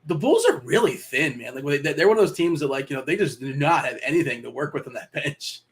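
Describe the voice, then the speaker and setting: A man with a fairly high voice co-hosts a live betting stream. He talks fast and rambles through fillers, quick to laugh as he riffs about teams and shows. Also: big pitch swings. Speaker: betting show co-host. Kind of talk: casual live-stream chat.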